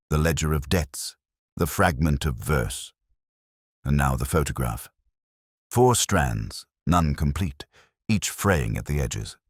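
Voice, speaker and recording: A man reading a scripted first-person detective story in a measured, literary, brooding manner. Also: rumbling low, husky, dry recording, studio quality. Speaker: narrator.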